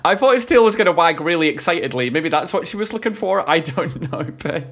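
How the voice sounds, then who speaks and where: A man in his thirties doing casual, excitable live reaction commentary as a video host, with playful exclamations. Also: a little high, quick pace. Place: small home streaming room. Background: loud game trailer music.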